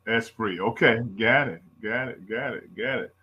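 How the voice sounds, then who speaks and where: A male radio host in a loose, rambling talk style, leaning on fillers as he goes. His voice comes across bright and forceful. The recording itself sounds dull and lo-fi. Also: lowish voice, moderate pace, husky. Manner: chatty, rambling, lots of fillers. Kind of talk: casual radio interview.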